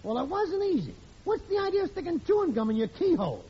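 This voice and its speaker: An actor playing a nosy, gleefully alarmist neighbor in a 1940s radio comedy, slangy and theatrical. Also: male voice, very high-pitched, huge pitch swings, fast talker, heavily acted. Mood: teasing. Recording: muffled, noisy old radio recording.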